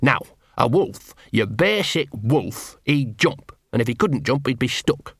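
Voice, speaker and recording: A man's voice: medium pitch, animated, unhurried, fairly bright tone, husky, no room sound, clean studio sound, exaggerated voice. A man voicing a scruffy small male street dog, grumbling sarcastically through clenched teeth in a storybook reading. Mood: scheming. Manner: sarcastic, self-pitying mutter.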